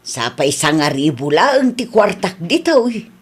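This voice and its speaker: A woman with an Ilocano accent playing a nagging, blunt older aunt in a comedy radio drama, scolding and expressive. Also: lowish voice, a little slow, slightly rough, heavily acted. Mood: reluctant.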